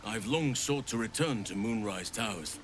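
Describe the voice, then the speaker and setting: A grave, low-voiced druid leader. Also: male voice, lively intonation, a bit bright, a little hiss or hum. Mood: earnest. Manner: measured, formal, grave phrasing. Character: earnest, learned male druid leader burdened by regret. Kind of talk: dramatic fantasy video game dialogue. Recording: game music behind.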